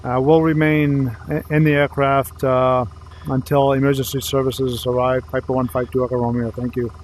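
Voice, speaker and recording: A man's voice: medium pitch, little pitch movement. Hesitant man flying a simulator, noisy background. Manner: hesitant, halting, thinking aloud. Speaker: flight simulator streamer playing pilot. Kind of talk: live gameplay commentary and pilot radio calls.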